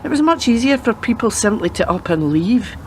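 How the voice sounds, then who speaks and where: A woman in a radio play acting a world-weary, sceptical grandmother who used to be a policewoman, speaking in a dry, measured way. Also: a little high, big pitch swings, darkish tone, a little hiss or hum.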